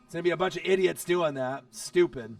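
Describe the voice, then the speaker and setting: A man hosting a casual live stream while watching football, chatty and excitable, his voice hoarse from losing it. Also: a little high, fairly quick, no room sound, far from the mic, faint music behind. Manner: excitable, chatty, talks straight to viewers.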